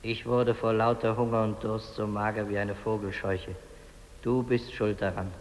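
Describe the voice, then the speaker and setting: An actor playing the bitter, accusing ghost of a dead polar explorer, hammering out reproaches in an old radio play. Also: male voice, fairly low pitch, little pitch movement, moderate pace, close to an everyday voice. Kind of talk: 1950s German radio drama acting. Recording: hissy old radio recording.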